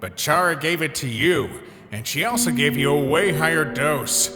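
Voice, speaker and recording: A man acting a guilty, evasive young man in a dramatic comic dub, subdued, halting and apologetic. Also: a little high, lightly acted. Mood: guilty, hesitant. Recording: long hall reverb, music behind.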